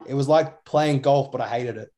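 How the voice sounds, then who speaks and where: A young man with an Australian accent chats as a podcast co-host. His pitch is average for a man. He rambles in a loose, matey way, full of slang. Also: normal speed, dark tone. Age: young adult. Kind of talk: casual chat.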